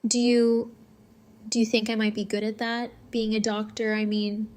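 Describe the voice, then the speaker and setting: A woman acts out an unsure teenage girl in an audio drama. Her voice is lightly high and quite flat in melody. She sounds shy and understated, phrasing things hesitantly and casually. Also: normal speed, natural voice.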